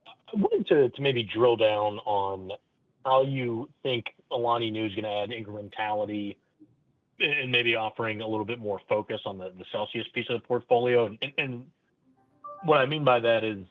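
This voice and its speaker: A man's voice: mid-range voice, normal speed, dry recording. A man calling in as a financial analyst, asking long questions with repeated words on an earnings call. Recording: dry, tinny phone line.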